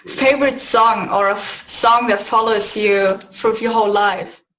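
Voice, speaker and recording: A woman with a mid-range voice hosts a podcast and works through a list of short questions. She keeps a brisk, friendly pace and laughs often between answers. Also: medium pitch, steady tone, a bit bright, poor audio. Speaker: podcast host. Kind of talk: quick-fire interview questions.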